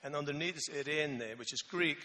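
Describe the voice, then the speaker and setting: A man in his sixties from the Faroe Islands, speaking, relaxed and joking, with many pauses and fillers as he is interviewed at church. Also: on the high side. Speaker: missionary Bible translation consultant. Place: church sanctuary. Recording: reverberant church hall.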